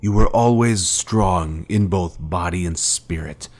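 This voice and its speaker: An actor playing a menacing fallen Jedi master in a video game, speaking in a solemn, pompous, formal way as a cold former mentor. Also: male voice, sits low, a little slow, a little mellow. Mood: solemn, reproachful. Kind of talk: scripted video game villain dialogue.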